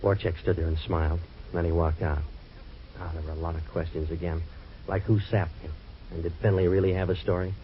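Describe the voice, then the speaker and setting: A man playing a deep, fast-talking wisecracking tough guy. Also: flat delivery, close to an everyday voice. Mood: narrating. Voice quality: dry sardonic wisecracks. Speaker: hard-boiled narrator and lead. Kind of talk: 1940s radio drama acting. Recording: dull lo-fi old radio recording, faint hiss.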